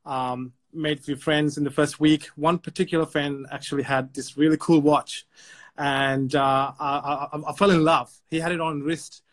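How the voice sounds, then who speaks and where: A chatty man from Sri Lanka tells a story as a podcast guest, his voice sitting a little high. He speaks over a video call and often repeats words as he goes. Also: level tone, normal speed. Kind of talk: casual storytelling.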